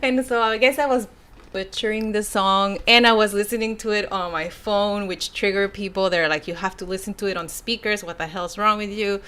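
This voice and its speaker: Bright-voiced woman with a Mexican Spanish accent. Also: mid-range voice, steady tone, moderate pace. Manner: chatty, laughs and sighs between thoughts. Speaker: YouTuber reading viewer comments. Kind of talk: casual live stream chat.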